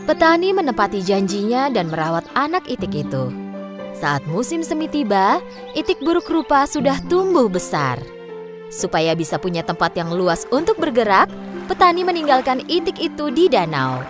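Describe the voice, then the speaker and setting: Animated woman storyteller over music. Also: fairly high pitch, moderate pace. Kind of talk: scripted children's story narration.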